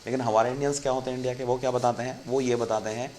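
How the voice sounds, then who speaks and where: A man's voice: medium pitch, level tone, rapid-fire, a little mellow, a little hiss or hum. Casual talking man, faint crickets behind. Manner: conversational, keeps saying "friend" to viewers. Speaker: YouTube channel host. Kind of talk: casual explainer monologue.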